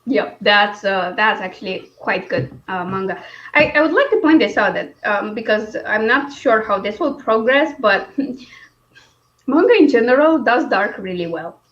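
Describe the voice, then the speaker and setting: A woman's voice: medium pitch, expressive, unhurried, fairly bright tone, dull audio. A woman hosting a casual book chat, speaking in a wry, informal way. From Romania. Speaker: book discussion host.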